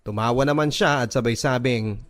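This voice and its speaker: A man's voice: mid-range voice, brisk. A man reading a scripted first-person love story as narration for a radio drama.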